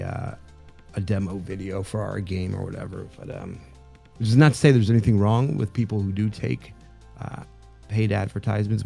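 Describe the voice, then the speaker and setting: A man co-hosting a stream, speaking in a casual, halting way with many fillers and restarts. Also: fairly low pitch, creaky, dark tone. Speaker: streamer co-host. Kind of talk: casual live-stream interview.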